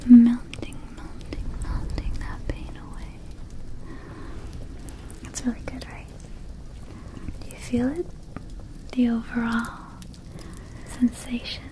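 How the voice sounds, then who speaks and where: A woman's voice: on the high side, monotone, warm tone. Woman acting a whispering, ditzy little vampire shopkeeper. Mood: soothing, enthusiastic. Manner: slow, hesitant, casual, confiding. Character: small, ditzy, friendly female vampire dispensary shopkeeper. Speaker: ASMR performer. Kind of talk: ASMR roleplay, whispered.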